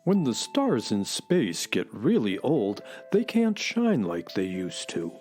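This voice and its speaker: An actor voicing a patient, sensible space horse in a children's radio play, calmly explaining things. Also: mid-range voice, takes it slowly.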